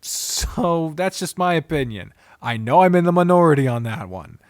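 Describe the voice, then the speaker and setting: A man's voice: fairly high pitch, lively intonation, normal speed, dry recording, studio quality. Blunt man rambling through casual reviews. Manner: blunt, frequently swearing, rambling asides. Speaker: video host reviewing visual novels. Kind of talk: casual unscripted review commentary.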